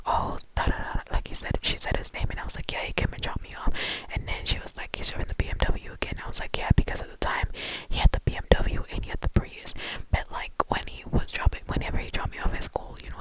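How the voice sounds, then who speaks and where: A young woman telling a rambling personal story in a casual whisper. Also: low-quality recording.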